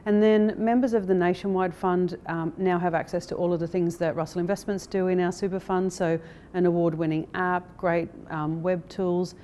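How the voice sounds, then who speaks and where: A woman speaking as a company director in a corporate interview, explaining things in a measured way. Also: lowish voice, fairly even intonation, dark tone, slight background noise. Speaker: interviewee, managing director of an investment firm.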